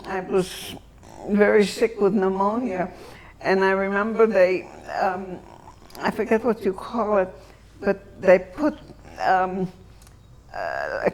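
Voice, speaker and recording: An elderly woman from Antwerp whose first language is German, reminiscing in an interview and searching for her words with long pauses. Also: mid-range voice, poor audio. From Antwerp, Belgium.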